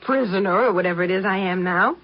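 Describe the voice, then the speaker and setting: A woman's voice: mid-range voice, fast talker, close to an everyday voice. An American woman playing a naive, impulsive eighteen-year-old stowaway, hesitant and pleading, in a 1950s radio drama. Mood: cheerful, pleading. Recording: dull, lo-fi 1950s radio recording.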